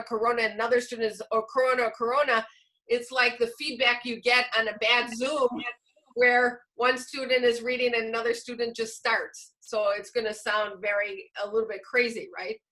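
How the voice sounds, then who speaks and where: A woman's voice: on the high side, sharp tone, poor audio. A woman presenting a teacher-training session, explaining informally with examples as she answers questions. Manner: explanatory, illustrates points with examples.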